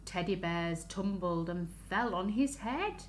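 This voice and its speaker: A woman reads a picture book to little children in a slow, sing-song voice that rises and dips widely. She often turns to the listeners with simple questions. The room is echoey, with faint noise behind her. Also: on the low side, expressive. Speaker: children's story reader. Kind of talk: picture-book read-aloud for young children. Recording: roomy echo, faint background noise.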